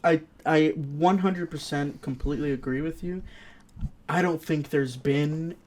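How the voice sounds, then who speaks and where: A man's voice: on the high side, a little slow, darkish tone. A man co-hosting a casual film and video game chat, agreeable and ready to concede a point. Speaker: podcast co-host reviewing films.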